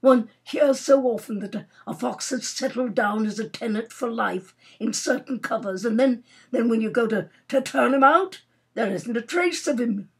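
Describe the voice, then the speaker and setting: A woman's voice: a little high, normal speed, breathy edge, voice pushed up. A woman voicing a morose, moody Major, grumbling, in a storybook reading. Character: morose, moody male Major, keen fox hunter.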